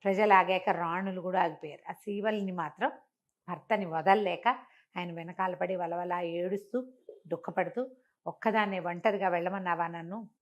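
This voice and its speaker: Animated woman storyteller. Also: fairly low pitch, normal speed, lo-fi sound. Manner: conversational, acts out dialogue, asks rhetorical questions. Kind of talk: animated oral telling of a moral folk tale.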